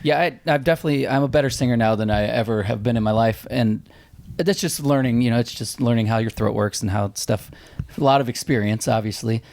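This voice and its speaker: A man's voice: mid-range voice, brisk, darkish tone, no room sound. A male band vocalist in a casual podcast chat, laid-back and blunt, cursing freely.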